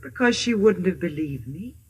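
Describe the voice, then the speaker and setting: An actress playing a gentle, wise good witch in 1950s radio drama, measured and soothing. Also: lowish voice, animated, husky. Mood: serene, kindly. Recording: old 1950 radio broadcast, music behind.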